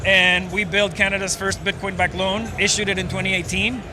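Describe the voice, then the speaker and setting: A man's voice: high-pitched, little pitch movement, fairly quick, over music. A man from Venezuela, a startup co-founder, explaining at length in a casual interview with many fillers. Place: outdoors at a busy conference.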